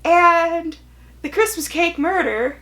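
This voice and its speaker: A young woman talking casually to viewers as a book vlogger, with animated emphasis and wry, self-deprecating asides. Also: very high voice, takes it slowly. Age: young adult. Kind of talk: casual chatty video to viewers.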